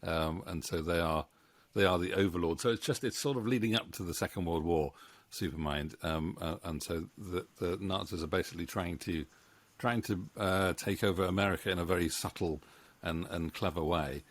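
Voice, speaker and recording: A middle-aged man with a low voice hosts a relaxed interview. He feels his way through sentences in a rambling, hesitant manner, close on a studio mic. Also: normal speed. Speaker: interview host and co-writer. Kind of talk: casual video-call interview. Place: book-lined home room. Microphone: studio microphone on boom arm, close.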